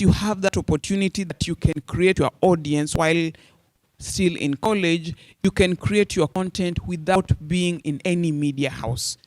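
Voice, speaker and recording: This is a Kenyan man in his forties with a somewhat high voice, talking to a room of media students as a radio journalist. He speaks in a direct, earnest way and calls them "you guys". The sound is close and dry, with no room echo.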